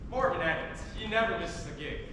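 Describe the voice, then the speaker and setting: A man's voice: a little high, fast, close to an everyday voice. A young man, a student actor, playing an evasive, absent-minded jazz musician husband and father on stage, defensive and dismissive. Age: young adult. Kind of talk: theatrical stage acting. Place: large indoor theatre stage. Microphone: distant recorder. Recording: echoey noisy auditorium from far off.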